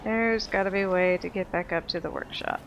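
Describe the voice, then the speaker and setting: A woman's voice: on the low side, fairly quick, vocal fry, muffled recording, slight background noise. A woman giving casual, unscripted let's play commentary in a blunt, sweary, opinionated manner. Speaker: gaming video host.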